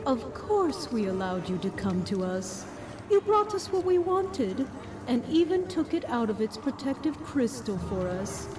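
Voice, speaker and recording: Woman voicing slow, menacing undead pony sorceress. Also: a little high, very animated, recorded from a distance, music in the background. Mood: smug contempt. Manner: slow, condescending. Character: undead rot-corrupted female pony sorceress, contemptuous, menacing. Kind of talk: audio drama acting.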